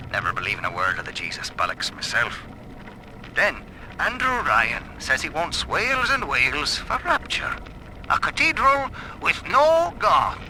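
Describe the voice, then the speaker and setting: An actor playing a cynical, irreverent brothel keeper, mocking and theatrical, in a game audio diary. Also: male voice, a little high, pushed and bright, exaggerated voice. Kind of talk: dramatic audio-diary monologue. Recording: faintly noisy vintage audio log.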